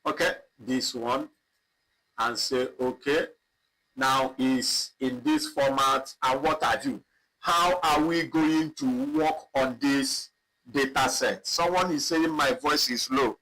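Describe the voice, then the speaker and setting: A man teaching a live online spreadsheet lesson, talking slowly and repeating himself as he clicks through data. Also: mid-range voice, sharp tone, poor audio. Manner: slow, repetitive, thinking aloud while clicking. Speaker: instructor. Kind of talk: live online software tutorial.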